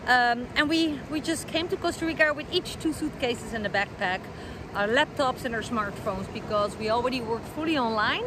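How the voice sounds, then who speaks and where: A woman's voice: fairly high pitch, moderate pace. A middle-aged woman from the Netherlands with a Dutch accent, chatting casually to the camera outdoors by the ocean, with plenty of fillers as she searches for words. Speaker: vlogger. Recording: noisy outdoor recording.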